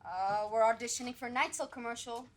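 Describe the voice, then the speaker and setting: A young woman in a school stage play, playing a guarded actress at an audition who speaks dryly, then quickly and emphatically. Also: on the high side, natural voice.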